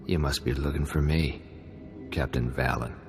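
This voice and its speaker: An actor playing a smug, vain and menacing pirate captain in a video game, with a boastful, mocking manner. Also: male voice, very deep voice, steady tone, normal speed, big-room sound, music in the background. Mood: smug taunting. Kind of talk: video game cutscene acting.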